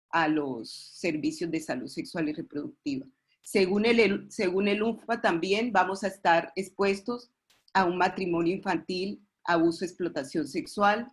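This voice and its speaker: A woman with a Colombian accent giving a formal webinar presentation as an expert, often halting and repeating words as she goes. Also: medium pitch. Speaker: expert presenter at a webinar, representing a pediatric committee.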